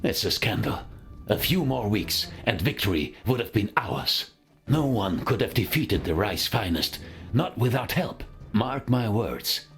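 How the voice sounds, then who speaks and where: A man's voice: on the low side, big pitch swings, a little slow, a bit bright, faint music behind, heavily acted. A man playing a pompous, indignant aristocratic officer, declaiming in a video game. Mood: outraged. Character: pompous, outraged aristocratic male German officer.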